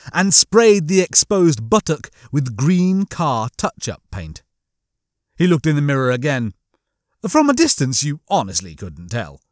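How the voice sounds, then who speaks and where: Lively, higher-pitched male audiobook narrator. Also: no room sound, studio quality. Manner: theatrical, expressive storytelling. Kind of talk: comic scripted narration.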